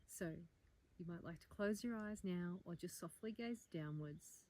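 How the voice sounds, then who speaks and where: A woman leading a guided meditation in a calm, gentle, inviting manner, with measured phrases and pauses. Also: lowish voice. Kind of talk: guided meditation, soft slow instruction.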